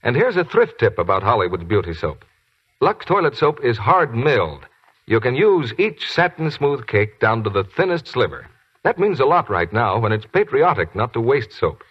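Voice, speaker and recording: An American man with a husky voice doing scripted 1940s radio announcing and advertising. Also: mid-range voice, big pitch swings, moderate pace. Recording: dull 1940s radio recording.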